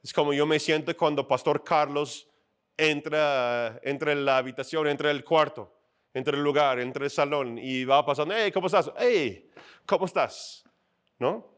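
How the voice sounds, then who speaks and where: A middle-aged male pastor preaches on a church stage, his voice sitting a little high for a man. He talks in a loose, conversational way, acting out little everyday scenes and often checking in with the congregation. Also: normal speed, smooth. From outside Mexico. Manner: conversational, animated, acts out little scenes and asks "¿no?". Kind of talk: sermon.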